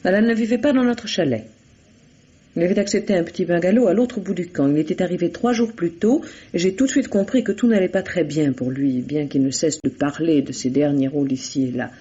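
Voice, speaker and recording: An actor playing an evasive, defensive murder suspect in a vintage radio mystery, hesitant and guarded under questioning. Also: male voice, sits high, brisk, dark tone, husky. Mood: explaining, wistful. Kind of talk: 1950s French radio mystery acting. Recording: dull lo-fi old radio recording.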